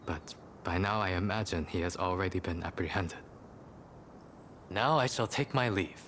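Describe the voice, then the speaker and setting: An actor playing a courteous, ambitious gentleman in a video game, formal and composed in manner. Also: low voice, normal speed, slight background noise. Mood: regretful, composed. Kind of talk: video game cutscene acting.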